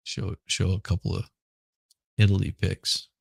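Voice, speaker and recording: A middle-aged man chatting casually as a podcast host, hoarse and rambling, often repeating words and restarting. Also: lowish voice, dry recording. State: hoarse from smoke. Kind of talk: casual livestream chat.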